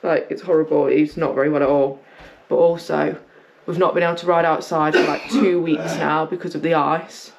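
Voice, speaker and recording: A young woman, chatting casually to her camera as a cycling vlogger, rambling and talkative, her voice a bit croaky from just waking up. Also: low-pitched, steady tone, moderate pace, heavy background noise. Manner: chatty, rambling, talks a lot. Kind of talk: casual vlog chat to camera. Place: home, then outdoors on a walk.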